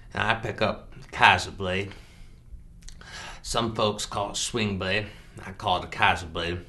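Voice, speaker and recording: Guy doing a simple country killer movie monologue, super flat n deadpan, halting w little grunts, kinda forceful bright edge. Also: deep voice, exaggerated voice. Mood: grim, deadpan. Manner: halting, deadpan, grunts "mm" between short phrases. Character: slow, simple, flat-voiced rural killer confessing his past. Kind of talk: improvised film monologue reenactment.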